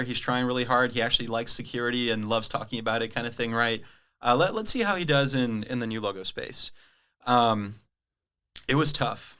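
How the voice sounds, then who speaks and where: A man from Brazil whose first language is Portuguese, a sales rep telling his career story in a casual interview, chatty and quick to laugh. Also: mid-range voice, bright, forceful tone, smooth. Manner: chatty, lots of "right?" tags and laughs. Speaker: guest, cloud sales rep.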